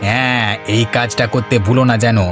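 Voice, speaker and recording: Man performing a greedy cartoon husband, medium pitch, bossy, insistent, scheming, music behind. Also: little pitch movement, a little fast, lightly acted. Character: greedy, scheming village husband, bullying his neighbours. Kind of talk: cartoon voice acting.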